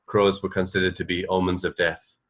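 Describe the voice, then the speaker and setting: A man with a low voice hosts a relaxed, conversational radio show. He feels his way through explanations, rambling a little and pausing to find the next phrase. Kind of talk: casual co-hosted radio chat.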